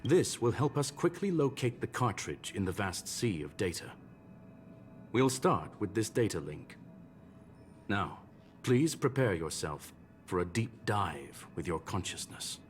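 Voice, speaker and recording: An actor voicing a learned, formal gentleman robot in a video game, with courteous, measured phrasing. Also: fairly low pitch, very animated. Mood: courteous, guiding. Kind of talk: scripted video game dialogue. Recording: game music behind.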